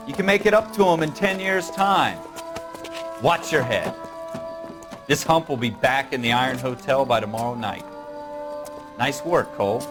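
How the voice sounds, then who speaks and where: An actor playing a brash, streetwise American patrol cop in a period crime game, talking in slang. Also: male voice, medium pitch, moderate pace, long pauses, close to an everyday voice. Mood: wisecracking. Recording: music clearly behind the voice.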